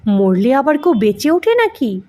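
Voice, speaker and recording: Woman voicing a cheeky high-voiced young grandson. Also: sing-song, normal speed, a little mellow. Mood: curious, teasing. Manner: eager, teasing, interrupting.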